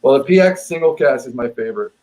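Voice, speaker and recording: An emphatic, opinionated man from Quebec, talking casually as a guest on a live whisky stream. His voice sits at an ordinary middle pitch, and he stumbles over repeated words when he is fired up. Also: expressive, quick pace, darkish tone. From Quebec, Canada.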